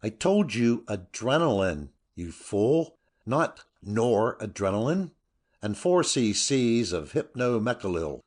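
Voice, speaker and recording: A man's voice: on the low side, big pitch swings, takes it slowly, darkish tone, full character voice. A man playing an irate, snappish older doctor in a dramatic audiobook, with emphatic, indignant delivery. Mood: furious, accusing.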